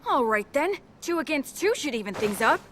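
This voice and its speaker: High, playful little cat spirit. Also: female voice, big pitch swings, moderate pace, heavy background noise. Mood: calm menace. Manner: teasing, breezy, cutesy. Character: small magical talking cat spirit, playful, teasing. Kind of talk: English anime dub acting. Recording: music behind the voice.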